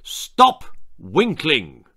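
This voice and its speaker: A man's voice: a little high, huge pitch swings, vocal fry, sharp tone, breathy edge, no echo, studio quality, exaggerated voice. Man acting a stern, growling angry father. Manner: growling, weighty, drawn-out commands.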